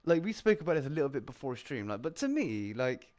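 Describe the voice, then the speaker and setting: A man with a British accent, hosting a casual round-table chat about games, talking in quick bursts, often laughing and filling with "like". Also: medium pitch, a little hiss or hum. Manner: animated, chatty, laughs often, heavy filler "like". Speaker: streamer and show host.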